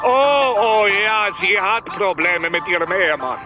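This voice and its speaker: A menacing male villain, whose fairly high voice swings widely in pitch. He phrases threats with sarcastic, mock-pleasant care, as a shady ex-agent turned businessman. Music plays clearly behind this radio drama. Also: lively intonation, a little slow, dull audio. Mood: sarcastic fury. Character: menacing, sarcastic ex-KGB businessman, betrayed husband. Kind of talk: modern radio drama acting.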